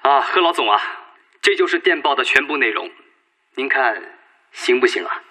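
An actor in a Chinese radio drama playing an earnest, respectful Red Army political officer with careful, emphatic enunciation. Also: male voice, mid-range voice, animated, normal speed, pushed and bright, poor audio. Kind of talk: Chinese radio drama acting, formal reading.

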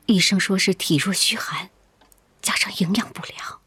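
A young wife in a radio drama, anxious and pleading, with a stubborn streak. Her voice is hushed and very breathy, recorded close and dry. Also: medium pitch, normal speed, no echo, in a whisper. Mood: worried, hushed.

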